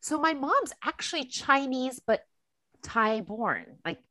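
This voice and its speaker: A woman with a Californian American accent chatting casually as a podcast guest, telling personal stories and acting out bits of dialogue in an animated way. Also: high-pitched, slow pace, no echo. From Los Angeles, USA. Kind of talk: casual chat, personal storytelling.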